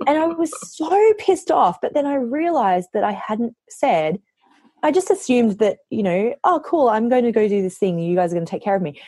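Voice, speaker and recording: A woman author, hoarse from losing her voice, chatting casually on a podcast and telling lively stories, often quoting herself. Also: on the high side, moderate pace, no room sound. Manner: animated storytelling, quotes herself.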